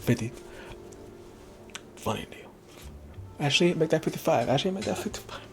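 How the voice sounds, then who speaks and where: A man's voice: a little high, animated, brisk, gravelly, soft background music. A man giving casual gameplay commentary, muttering to himself and swearing freely. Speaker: video game player.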